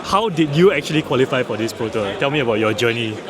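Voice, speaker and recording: Singaporean guy vlogging a card tourney, chatty n upbeat, normal pitch, heavy crowd noise. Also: expressive. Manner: chatty, upbeat, quick affirmations. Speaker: vlogger and tournament player interviewing opponents. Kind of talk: casual on-the-spot interviews and vlog narration. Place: busy card game tournament venue. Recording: busy crowd noise behind.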